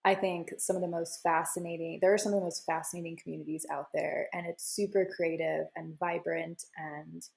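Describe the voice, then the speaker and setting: Flat, low-voiced woman giving advice. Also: fairly quick, vocal fry, few pauses. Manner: confident advice-giving, many "you know" fillers. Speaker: guest, crypto media founder. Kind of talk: casual interview answers.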